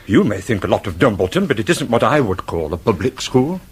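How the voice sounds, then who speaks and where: An actor playing a touchy, class-conscious office worker in a period radio drama, formal and full of grievance. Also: male voice, lowish voice, big pitch swings, fairly quick, slightly rough, heavily acted. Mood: resentful, haughty. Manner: aggrieved, prickly, formal.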